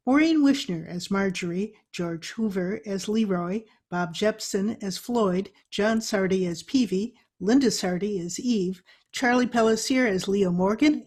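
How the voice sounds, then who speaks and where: A woman's voice: mid-range voice, a little mellow, husky. A woman reading scripted credits as a radio show announcer.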